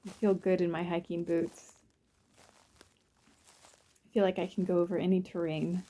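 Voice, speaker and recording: A woman narrates a guided visualization in a soothing, unhurried way, in short phrases with pauses between them. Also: fairly low pitch, level tone, dark tone. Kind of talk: guided visualization, first-person present-tense narration.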